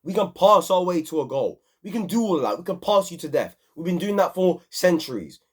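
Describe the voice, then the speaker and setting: A young man hosting an excited, slangy football match review, a bit hoarse from screaming. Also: sits high, fairly quick. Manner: animated, repetitive, slangy. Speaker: football channel host.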